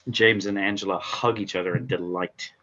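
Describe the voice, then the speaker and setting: A man's voice: sits low, fairly even intonation, moderate pace, bright, forceful tone, lo-fi sound. A man giving scripted narration, reading out stage directions at an informal table read.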